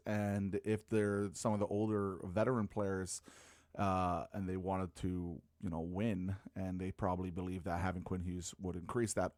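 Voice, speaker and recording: Male co-host, low voice, easygoing and laughing, dry close recording. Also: no echo. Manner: relaxed, laughs often, speculates aloud. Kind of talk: casual podcast chat.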